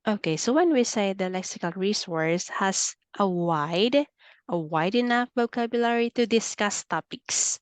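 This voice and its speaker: A woman with a Filipino accent gives a one-on-one English lesson by video call. She explains things patiently and fills her pauses with "okay." Her voice sits in a middle range and sounds dry and close to the mic. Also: medium pitch, lively intonation, dry recording. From Philippines. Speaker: English tutor. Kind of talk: online one-on-one speaking lesson.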